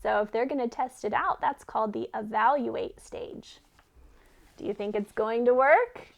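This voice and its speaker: A woman's voice: fairly high pitch, normal speed, creaky, muffled recording. A woman reading a children's story aloud expressively, as a teacher who puts questions to listeners.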